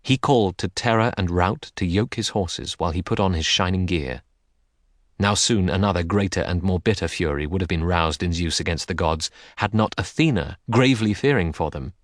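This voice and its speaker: A man's voice: low voice, lively intonation, moderate pace, no room sound, studio quality. A man narrating epic verse as a dramatic literary audiobook.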